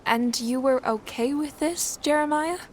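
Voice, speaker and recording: A woman's voice: high-pitched, measured pace, a little hiss or hum. An actress playing a polite, reserved but curious young woman at sea in a Regency-era audio drama. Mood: hesitant, surprised. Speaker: actor playing a new passenger. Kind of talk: period audio drama acting.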